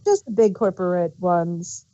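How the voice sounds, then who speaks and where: A woman co-hosting a casual review podcast, speaking in a halting way and often restarting her sentences. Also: fairly low pitch, sing-song, dark tone. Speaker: podcast co-host reviewing audio dramas. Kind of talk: casual unscripted chat. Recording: dull, dry podcast microphone.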